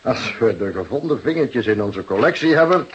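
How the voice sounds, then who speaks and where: A wry police technician played by a male actor in a radio play, proud of his work and drily playful. His mid-pitched voice comes through a dull recording with faint noise. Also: animated, moderate pace, lightly acted. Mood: smug, playful. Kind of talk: Dutch radio drama acting.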